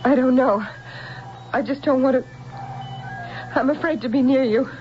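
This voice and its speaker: An actress playing an anxious, emotional wife who speaks haltingly and earnestly in a radio drama. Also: female voice, high voice, dull audio, faint music behind, lightly acted. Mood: uneasy, fearful. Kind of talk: 1970s radio drama acting. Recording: band-limited old radio broadcast.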